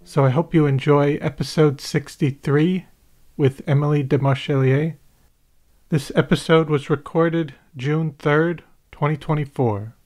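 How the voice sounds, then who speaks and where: A man's voice: on the high side, fairly even intonation, a little slow, a little mellow. A man hosting a local podcast, reading a formal, wordy introduction and then asking questions. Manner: formal, ornate wording. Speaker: podcast host. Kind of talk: scripted introduction and interview questions.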